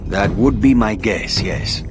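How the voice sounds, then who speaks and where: A stern, formal agency chief. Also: male voice, fairly low pitch, slow. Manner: formal and authoritative. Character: stern male head of anti-mutant agency. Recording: game audio with music behind.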